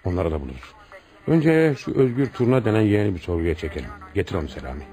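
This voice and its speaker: An actor playing a stern, commanding police commissioner in a radio play, questioning suspects brusquely and with authority. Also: male voice, low-pitched, big pitch swings, fast, music in the background. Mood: commanding, brisk. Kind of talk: radio theatre acting.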